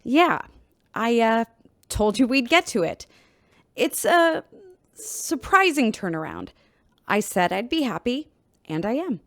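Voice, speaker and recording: An actress playing a grudging spaceship chief engineer who speaks in a halting, reluctant manner. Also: female voice, fairly high pitch, big pitch swings, fairly bright tone, no echo, full character voice.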